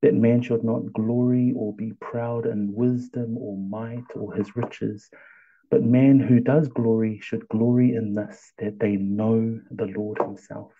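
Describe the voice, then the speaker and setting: A Samoan man with a Samoan accent, a pastor giving a devotional sermon and reading scripture slowly and deliberately. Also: lowish voice, fairly even intonation, breathy edge, phone-quality sound.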